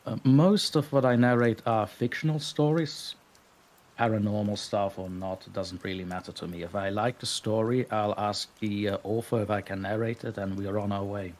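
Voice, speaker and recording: A man's voice: on the low side, fairly even intonation, moderate pace, darkish tone, slight background noise. A man from Belgium, a guest story narrator, chatting modestly and laughing often in a live stream interview. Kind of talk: casual interview chat.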